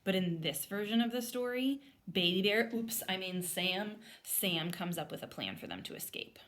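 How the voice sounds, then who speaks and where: A woman's voice: medium pitch, big pitch swings, normal speed, a bit bright. A woman speaking as an elementary teacher, reading aloud and explaining to children step by step. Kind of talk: storybook read-aloud and lesson for children.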